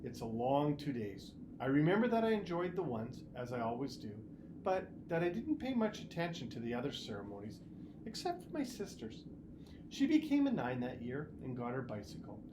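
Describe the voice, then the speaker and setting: Man reading as confiding father, animated pitch swings. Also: moderate pace, natural voice. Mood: reminiscing. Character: gentle, caring father confiding mildly guilty secrets. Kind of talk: storybook reading. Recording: noticeable background noise.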